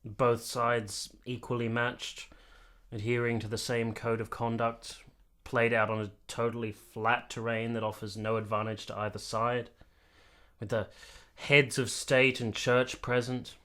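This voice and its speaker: Earnest low-voiced army captain. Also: male voice, fairly bright tone. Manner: articulate, literary, earnest. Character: earnest, candid young army captain, reflective war veteran. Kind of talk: radio play narration and dialogue.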